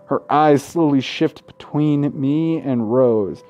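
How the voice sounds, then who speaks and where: Male let's-play narrator: unhurried, soft-toned, reads visual novel prose with blunt, profane asides. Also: mid-range voice, highs cut off, faint music behind. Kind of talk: reading game text aloud with casual commentary.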